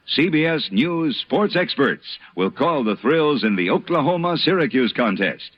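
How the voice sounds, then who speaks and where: Male vintage radio announcer, mid-pitched and husky, emphatic promo read, dulled old broadcast sound. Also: no room sound, lo-fi sound.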